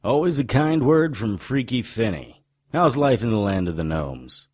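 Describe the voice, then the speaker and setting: An actor playing a wry, hard-boiled supernatural private detective in an audio drama, deadpan and sarcastic with a raspy voice. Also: male voice, medium pitch, moderate pace. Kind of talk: audio drama dialogue and noir narration.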